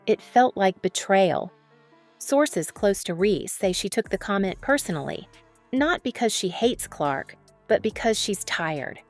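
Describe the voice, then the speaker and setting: A woman's voice: medium pitch, expressive, a little slow, faint music behind. A woman delivering a dramatic, scripted sports news narration.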